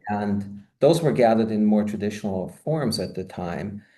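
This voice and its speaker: A man's voice: lowish voice, lively intonation, normal speed, mellow. A man in his fifties, born and raised in Austria, speaking as a guest on a video-call interview from a home office, talking expansively with many "you know" asides. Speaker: guest, marketing consultant. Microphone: video call, webcam.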